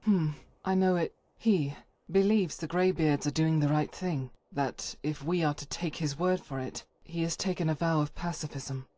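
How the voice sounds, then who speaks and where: A woman voicing a devout, principled warrior companion in a fantasy game, formal, measured and earnest. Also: sits low, dark tone. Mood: thoughtful, uneasy. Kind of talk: scripted fantasy game dialogue.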